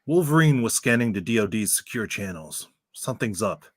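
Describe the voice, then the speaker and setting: Man playing a husky, low-voiced mission leader. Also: lively intonation, fairly quick, dry recording, studio quality. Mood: urgent, businesslike. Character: businesslike, commanding male superhero mission leader on radio. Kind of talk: radio play acting.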